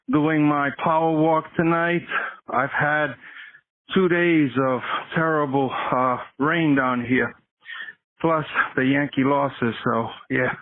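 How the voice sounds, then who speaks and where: A man's voice: a little high, phone-quality sound. A man leaving a casual, folksy, playful voicemail by phone while out on a walk. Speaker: voicemail caller.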